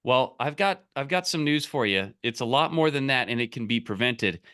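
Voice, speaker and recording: Bright, punchy male business coach. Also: medium pitch, expressive, normal speed, clean tone. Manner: emphatic, direct, salesy, often checking with "okay?" and "right?". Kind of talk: casual coaching, explaining and giving example scripts.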